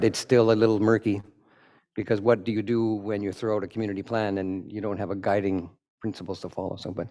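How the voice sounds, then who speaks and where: A man's voice: lowish voice, darkish tone. A man presenting for a committee at a church meeting, answering questions in a plain-spoken, joking way.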